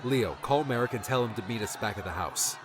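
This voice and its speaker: Man playing an earnest young hero, lowish voice. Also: expressive, fairly quick, a crowd in the background, noisy background, natural voice. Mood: urgent, commanding. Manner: earnest, resolute. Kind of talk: audiobook character dialogue. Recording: background music under the voice.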